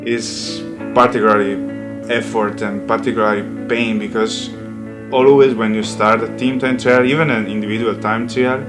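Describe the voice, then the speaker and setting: A man from Italy speaking English, a professional cyclist giving an interview in a halting way with tag questions. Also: on the low side, fairly even intonation, music in the background.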